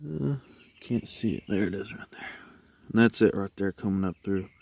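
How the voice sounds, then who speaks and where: A man's voice: low-pitched, normal speed, gravelly, background noise. A man giving a casual, hands-on video walkthrough in a laid-back, folksy way. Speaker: hobbyist vlogger showing a truck install.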